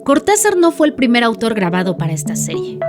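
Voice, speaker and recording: A woman hosting a podcast, delivering scripted documentary narration in a clear, even way. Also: a little high, brisk, music in the background. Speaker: podcast host.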